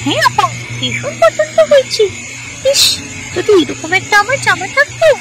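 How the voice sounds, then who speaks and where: Spoiled young cartoon girl, high pitch, animated, demanding, whiny, music underneath. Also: moderate pace, full character voice. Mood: excited, envious. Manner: whiny, excitable. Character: spoiled, demanding young village girls, sisters. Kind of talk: cartoon voice acting.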